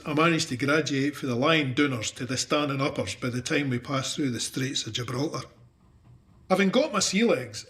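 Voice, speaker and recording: A man's voice: medium pitch, a bit bright, natural voice. An actor with a Scottish accent playing a wry, plain-spoken cavalryman recounting his war in a dramatised memoir. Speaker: narrator of an audio drama. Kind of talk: dramatised first-person memoir narration.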